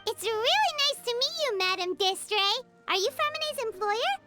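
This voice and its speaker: A woman voicing a tiny, cheerful, childlike fairy companion in a video game, squeaky, bubbly and curious. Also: animated, normal speed, music in the background. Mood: excited, chirpy. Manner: bubbly, refers to self in third person.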